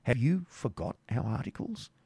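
A brusque woodland outlaw, played by a male narrator takes command in blunt archaic English. It is a storybook voice with some gravel, recorded dry. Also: mid-range voice, big pitch swings, normal speed, a little mellow, dry recording, pitched above the performer's own voice, exaggerated voice. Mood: stern, questioning.

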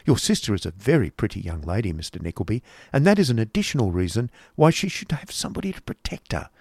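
A man's voice: on the low side, big pitch swings, fast, darkish tone, no echo, studio quality. A man voicing a fussy, chatty middle-aged woman painter who prattles and exclaims. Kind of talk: audiobook character voice.

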